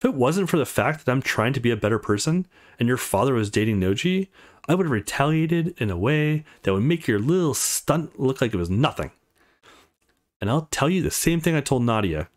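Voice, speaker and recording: A man's voice: mid-range voice, big pitch swings, fairly quick, close to an everyday voice. Intense, protective young man, read by a man. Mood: stern, threatening.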